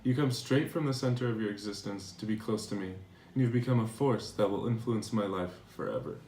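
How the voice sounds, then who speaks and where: A man playing a devoted philosopher reading his tender love letters aloud in a measured, earnest dramatization. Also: on the low side, a little mellow, lightly acted. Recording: echoey room, distant mic, faint noise.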